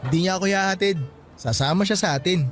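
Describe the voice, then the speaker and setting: A male actor plays a playful young man teasing his friends in a Filipino radio drama. His voice is fairly high and expressive, with some mock grumbling. Light music plays behind. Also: fast, natural voice. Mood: casual.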